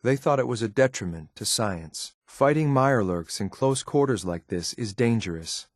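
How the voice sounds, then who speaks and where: A man voicing a stoic, dutiful synth agent for a video game, speaking in a clipped, measured, formal deadpan. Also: mid-range voice, no echo, clean studio sound, natural voice. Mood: cool, formal. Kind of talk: scripted video game companion lines.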